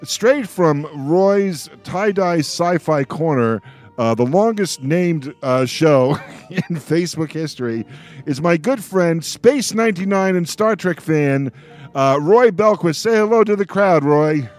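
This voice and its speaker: Man, radio host, higher voice, showman-like introductions, playful, hesitant asides, music in the background. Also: lively intonation, slow pace, a little mellow. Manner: showman-like, with hesitations and joking announcements. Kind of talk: playful hosting of a roundtable discussion. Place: radio show held over a video call.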